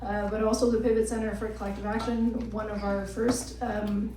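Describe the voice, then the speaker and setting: A woman panelist introducing her work, talking in a measured way with many fillers. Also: mid-range voice, level tone. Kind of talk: panel talk. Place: crowded hall. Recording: echoey room, distant mic, faint noise.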